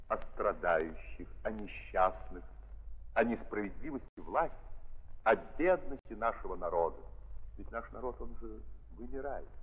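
Idealistic landowner, low husky voice, grave, declamatory, 1930s radio drama. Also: male voice. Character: earnest, guilt-ridden idealistic nobleman landowner. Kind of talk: 1930s Russian stage radio drama acting. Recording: muffled 1930s recording, hall reverb.

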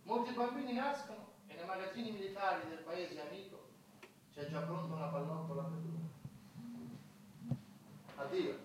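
Male actor as bitter pacifist, radio drama. High voice, earnest and accusing, far from the mic in a ringing room. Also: normal speed, pauses often, slight background noise, lightly acted. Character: bitter pacifist man who records names of war dead. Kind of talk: theatrical radio drama acting. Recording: very echoey, distant mic, loud guitar music.

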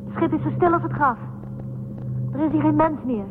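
A woman playing a bold, lively space crew member in a science fiction audio drama. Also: high-pitched, brisk, noisy background, close to an everyday voice. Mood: alert, curious. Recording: narrow lo-fi radio drama with music.

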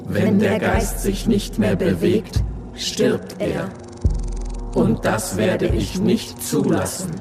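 A sentient machine speaks very slowly and imperiously, brooding with resentment of humanity. The radio play lays loud music around the mid-pitched voice. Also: big pitch swings. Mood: brooding, resentful.

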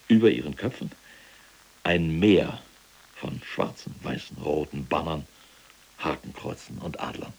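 A man's voice: very deep voice, creaky, breathy edge, exaggerated voice. An actor playing a proud, unrepentant older SS general in prison, boastfully and precisely recounting his deeds in a radio play. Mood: proud, solemn. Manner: boastful, precise, military enumeration. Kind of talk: German radio play dialogue.

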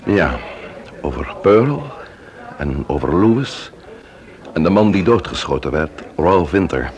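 Serious male investigator, deep voice, lively intonation, earnest questioning, radio crime drama. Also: unhurried, exaggerated voice. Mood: grave. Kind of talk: radio drama acting in Dutch.

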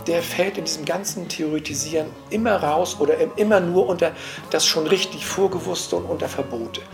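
A man's voice: a little high, creaky. Man talking analytically, music behind. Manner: halting, searching for words, adding tag questions. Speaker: cultural commentator and jazz enthusiast. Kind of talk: spontaneous analytical talk.

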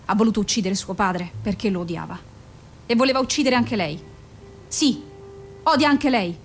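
An actress in an Italian radio play playing a grave forensic doctor, firm and controlled, with an insistent, blunt way of talking. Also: female voice, mid-range voice, slight background noise, natural voice. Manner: measured, insistent, bluntly direct.

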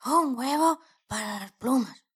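High-pitched excitable hen, acted by a woman. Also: unhurried. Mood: proud, eager. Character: excitable, vain, eager female laying hen. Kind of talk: children's audio story acting.

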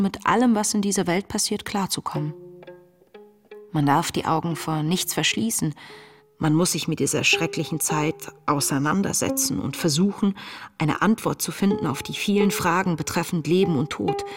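An actress plays an introspective young woman confiding in her wartime diary for a radio drama. Her voice sits low for a woman and moves in a measured, reflective, intimate way. Faint music plays behind her. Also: lightly acted. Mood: grave resolve.

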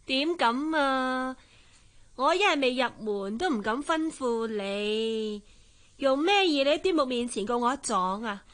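A spiteful young society woman, speaks high and imperiously. Her Hong Kong accent carries a coquettish, sneering edge, in classic radio drama style. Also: expressive, measured pace, a bit bright. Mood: spiteful, accusing. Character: haughty, spiteful young rich woman, jealous girlfriend. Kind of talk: Cantonese radio drama acting.

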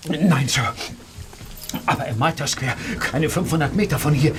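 An actor playing an excitable, deferential police constable in a German radio play, urgent in his delivery. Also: a little high, moderate pace, over music, close to an everyday voice. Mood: agitated.

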